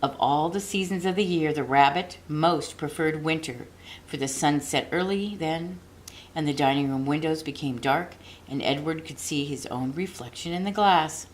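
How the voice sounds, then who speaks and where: Woman reading a children's storybook, lowish voice. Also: moderate pace, a little hiss or hum, rough recording. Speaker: audiobook reader. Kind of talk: expressive children's storybook narration.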